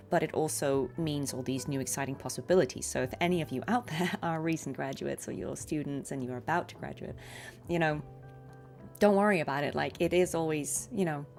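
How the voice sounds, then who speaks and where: Low-voiced woman chatting on a game stream. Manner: reflective, encouraging, chatty. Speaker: streamer and voice actor. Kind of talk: casual game livestream commentary.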